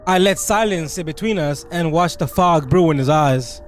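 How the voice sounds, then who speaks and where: A man with a slightly high voice gives let's play commentary on a story game. He reads on-screen text aloud and breaks into casual, slangy commentary. His delivery is expressive, with an incredulous edge. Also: normal speed, soft background music. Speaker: gaming video creator.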